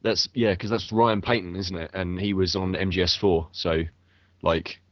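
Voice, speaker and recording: A man's voice: deep voice, fairly even intonation, moderate pace, dry recording. A man joining a casual podcast chat over a call, with stammering, hesitant starts. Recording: dull lo-fi call line.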